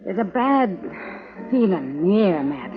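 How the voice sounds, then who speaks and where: A woman's voice: medium pitch, big pitch swings, measured pace, over music. An actress playing a worried saloon woman in a 1950s radio western, speaking with intimate concern. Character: worried saloon woman, tender toward the marshal. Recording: muffled old radio broadcast.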